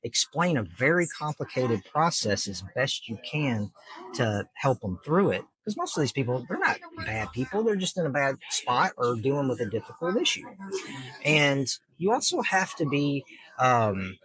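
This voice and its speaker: A man's voice: medium pitch, very animated, moderate pace, a bit bright, poor audio. A middle-aged man, a judge answering interview questions earnestly and patiently in plain terms, with lots of fillers. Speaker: district judge, guest.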